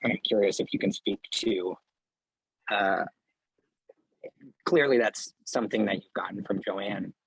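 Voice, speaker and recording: Husky man asking a hesitant question. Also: lowish voice, unhurried, pauses often, no room sound, poor audio. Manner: hesitant, lots of ums. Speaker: audience member asking a question. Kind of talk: question in a discussion.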